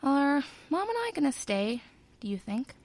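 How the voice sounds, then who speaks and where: Eager, high-voiced young girl. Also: very animated, normal speed, a little mellow, dry recording. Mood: pleading. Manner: eager, questioning. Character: eager, inquisitive young girl, pleading granddaughter. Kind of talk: radio drama acting.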